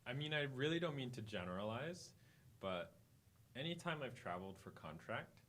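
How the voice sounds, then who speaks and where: A man hosting an interview, asking questions in a relaxed way and giving frequent murmured agreement. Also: mid-range voice, normal speed. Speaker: host.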